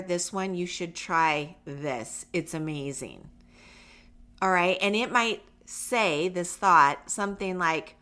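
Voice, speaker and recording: A woman's voice: lowish voice, fairly bright tone. Reassuring woman podcaster getting over a cold. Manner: reassuring, conversational, emphatic. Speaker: podcast host for homeschool parents. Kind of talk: encouraging solo podcast talk, addressing listeners directly.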